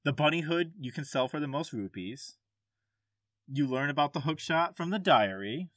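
A man hosting a casual gaming podcast quiz, reading questions and answers aloud in a somewhat halting way. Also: fairly high pitch, lively intonation, a bit bright, no echo, clean studio sound.

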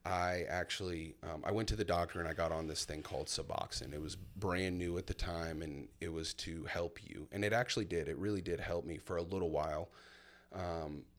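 Deep-voiced man in his thirties. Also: fairly even intonation, a little fast. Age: 30s. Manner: candid, reflective storytelling with many fillers. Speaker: guest sharing his testimony. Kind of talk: casual personal conversation.

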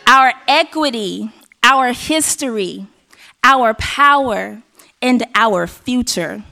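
A hoarse woman from Arkansas, hosting a live event on a stage mic and rallying the audience with call and response. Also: on the high side, slow pace. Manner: energetic, rallying the crowd. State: hoarse, losing her voice. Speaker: event emcee. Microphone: handheld stage mic. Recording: live hall with faint audience.

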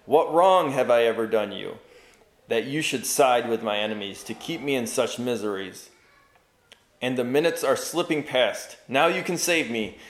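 A man's voice: mid-range voice, moderate pace, reverberant, close to an everyday voice. A man voicing a tormented enchanted knight bound in a chair, pleading in grand old-fashioned speech and rising to frenzy.

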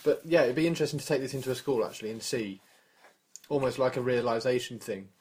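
A man's voice: medium pitch, quick pace, darkish tone, a little hiss or hum. A British man in his forties hosting a podcast, chatting casually and thinking aloud. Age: 40s. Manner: thinking aloud, tripping over numbers. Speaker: podcast host. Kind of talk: casual chat.

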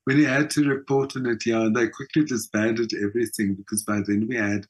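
A man's voice: medium pitch, flat delivery, brisk. A middle-aged man with a South African accent, chatting casually as an interview guest and telling stories, often checking that the listener follows. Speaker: skills trainer. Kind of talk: casual conversational storytelling.

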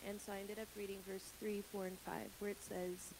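A woman's voice: medium pitch, level tone, fairly quick, gravelly. A woman in her twenties gives a personal testimony to a congregation, candid and earnest, and laughs at her own slips. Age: 20s. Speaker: church member sharing her testimony. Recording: hissy background noise.

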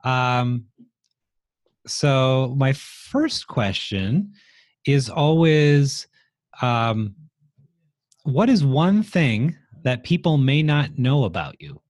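A man's voice: mid-range voice, animated, drawn-out words, long pauses, darkish tone, no echo. Easygoing male podcast host, dry close sound, chatty and laughs easily. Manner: casual, friendly, laughs easily. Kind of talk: podcast interview.

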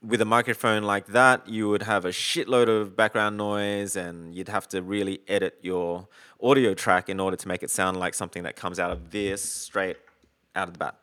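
Man, low pitch, casual rambling chat, filler words. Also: little pitch movement, moderate pace, a bit bright. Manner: rambling, lots of likes, swears casually. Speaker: podcaster on a video call. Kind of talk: casual chat about gear.

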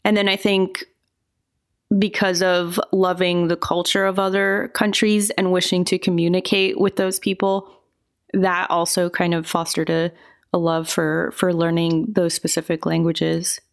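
A woman giving relaxed, thoughtful answers as an interview guest, often hedging her points. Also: on the low side, a little slow, creaky.

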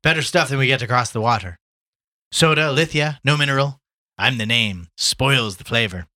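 A man's voice: mid-range voice, normal speed, sharp tone, pitched above the performer's own voice, lightly acted. A man voicing a jovial, boastful journalist in a storybook, hearty and exclamatory. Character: loud, swaggering, jovial male Irish journalist back from London.

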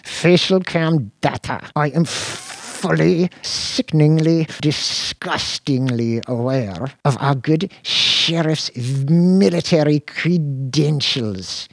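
A man's voice: on the high side, slow, vocal fry, hardly any pauses, dry recording, voice pushed up, exaggerated voice. A man voicing a petulant, scrawny male detective who squeals, whines and chants in a sing-song way. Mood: shrill, frantic.

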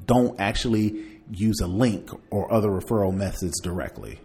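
A man's voice: on the low side, moderate pace, dark tone. A man hosting a podcast, chatting casually through tech news and partly reading aloud, in a conversational way. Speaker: podcast host.

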